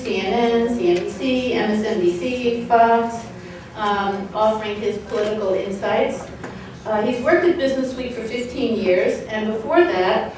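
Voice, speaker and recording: A woman's voice: medium pitch, far from the mic, noisy background. A woman in her forties giving opening remarks at a podium, reading from notes with frequent ums and uhs. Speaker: event organizer opening a panel. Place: conference room with banner. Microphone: podium mic on a stand, recorded by a far camera. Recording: echoey room, voice from afar.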